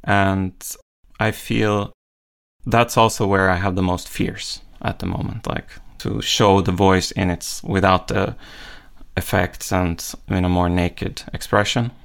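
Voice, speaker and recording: A man with a fairly low voice and a gravelly fry talks reflectively in an interview. He is thoughtful, feeling his way through each idea as a musician, with plenty of conversational "like". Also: flat delivery, takes it slowly, fairly bright tone. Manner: thoughtful, searching, often says "like". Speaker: interview guest, ambient musician. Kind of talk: reflective casual interview talk.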